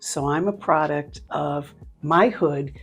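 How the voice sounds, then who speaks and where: An older woman from Cleveland speaks low and unhurried, her voice husky with a gravelly edge. She tells her story in a relaxed interview, pausing often between thoughts. Faint music sits underneath. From Cleveland, Ohio. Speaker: interviewee, nonprofit leader. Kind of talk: casual interview conversation.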